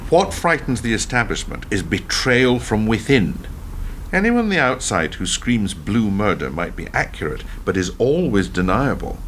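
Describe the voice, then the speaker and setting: A man acting as a defiant, embittered ex-prime minister in a radio play, speaking in a deliberate, rhetorical, self-justifying manner. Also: lowish voice, very animated, a bit bright, a little hiss or hum. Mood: grave. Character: defiant, embittered male ex-prime minister telling his story.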